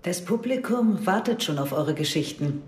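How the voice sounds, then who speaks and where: A woman's voice: fairly low pitch, animated, normal speed, close to an everyday voice. An actress playing a firm woman congress organizer in a radio drama, speaking in a formal, official way. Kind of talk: German radio drama dialogue. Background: music.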